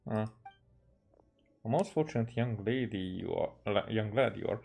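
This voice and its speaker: A man, a game streamer reading dialogue aloud haltingly and correcting himself as he goes. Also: lowish voice, creaky, a little mellow, husky. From Hungary.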